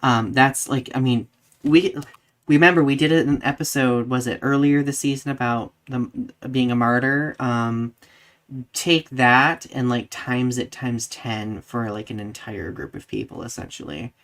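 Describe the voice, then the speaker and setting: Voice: medium pitch, unhurried, fairly bright tone. Casting: live podcast co-host, middle-register voice, informal discussion style, chatty phrasing. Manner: conversational, peppered with "like". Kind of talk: casual discussion, reading out live comments.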